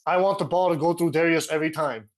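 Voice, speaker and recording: A young man from Los Angeles, a guest on a video call, telling stories in a relaxed way with plenty of "uh"s. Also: on the high side, fast. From Los Angeles, USA. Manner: relaxed storytelling, with frequent fillers. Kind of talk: casual interview. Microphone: video call with earphone mic.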